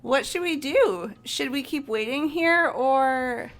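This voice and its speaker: A woman playing a blunt, excitable teenage schoolgirl in a fan-dubbed game. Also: high-pitched, slow, soft background music, heavily acted. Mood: worried, startled. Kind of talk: fan-dubbed visual novel game acting.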